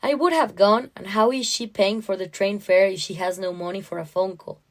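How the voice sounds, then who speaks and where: A woman reading scripted first-person literary fiction in a measured, expressive way. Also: mid-range voice. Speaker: story narrator.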